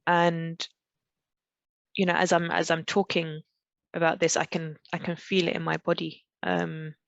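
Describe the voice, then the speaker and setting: A woman from Pakistan tells a personal story as a podcast guest. She speaks reflectively and haltingly, restarting phrases often, in a gravelly voice with little rise and fall in pitch. The recording is dull, dry and lo-fi, like a remote call mic. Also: little pitch movement, no echo.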